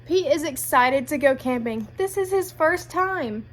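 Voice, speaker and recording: High-pitched, animated woman reading to children. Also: measured pace, a little hiss or hum. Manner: addresses children with guiding questions. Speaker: read-aloud storyteller. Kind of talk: animated children's picture book narration.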